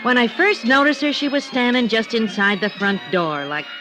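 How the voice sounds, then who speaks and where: A defensive young arcade worker in a vintage radio crime drama, answering in short, guarded lines. Her voice sits high, with music running beneath the scene. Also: sing-song, normal speed. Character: blunt, defensive young woman running an arcade change booth. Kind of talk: 1950s radio drama acting.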